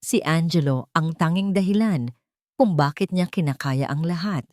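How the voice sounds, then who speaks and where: A woman storyteller reading a scripted, dramatic narration with measured phrasing. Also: sits low, darkish tone, no room sound, studio quality.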